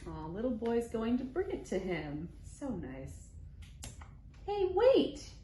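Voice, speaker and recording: In an echoey room with some background noise, a woman hosts storytime for small children. She speaks slowly in simple phrases, with an expressive, animated read-aloud delivery. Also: mid-range voice. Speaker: children's library storytime host.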